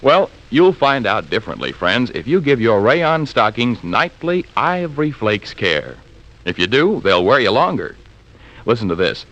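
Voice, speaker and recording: A man's voice: mid-range voice, big pitch swings, a little slow, a bit bright, poor audio. A man with an American accent reading a 1940s radio commercial in a persuasive, polished announcer style. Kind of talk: 1940s radio advertising read.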